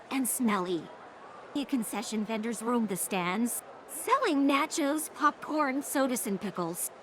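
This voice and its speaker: High-pitched synthetic woman storyteller. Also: a bit bright, slight background noise. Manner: synthetic text-to-speech reading. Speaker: narrator. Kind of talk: scripted story narration.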